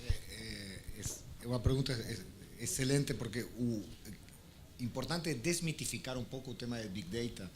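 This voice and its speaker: A man from Argentina with an Argentine Spanish accent, speaking on a conference panel, halting with frequent restarts and fillers. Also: mid-range voice, expressive, slight background noise.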